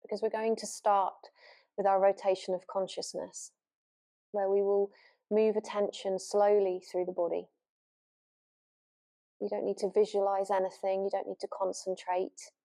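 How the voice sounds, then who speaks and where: A woman yoga nidra teacher leading a guided relaxation meditation gently and without hurry, leaving long pauses. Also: mid-range voice, flat delivery. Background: new-age music.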